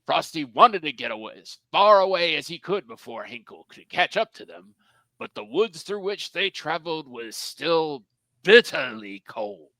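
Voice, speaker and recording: A man's voice: on the high side, very animated, normal speed, pushed and bright, no echo, poor audio. A man narrating a children's story at a live script reading, with a storyteller's emphasis and wry asides.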